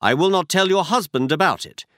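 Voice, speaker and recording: A man's voice: high voice, animated, fairly quick, fairly bright tone, no room sound, studio quality, pitched above the performer's own voice. A man voicing a cool, insistent male detective in a storybook reading, firm and pressing. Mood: reassuring.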